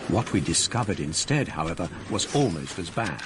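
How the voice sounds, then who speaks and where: A man narrating his own past in a measured, storytelling way, as in a radio drama. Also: low-pitched, very animated, noisy background, pitched below the performer's own voice. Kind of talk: scripted first-person narration in a radio drama.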